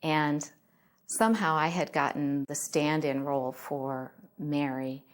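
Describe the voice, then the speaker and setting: Low-pitched woman recounting a memory. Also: steady tone, slow. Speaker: documentary interviewee. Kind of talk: vivid personal storytelling.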